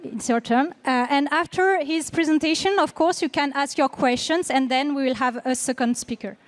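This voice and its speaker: A woman moderating a seminar, introducing the next speaker to the audience in a formal but halting way. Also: high voice.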